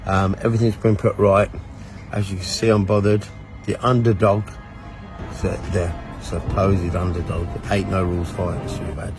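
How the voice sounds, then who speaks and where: A man's voice: deep voice, little pitch movement, normal speed, rough recording. A fighter man threatening his opponent in an aggressive, profane trash-talk promo. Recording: music bed behind voice.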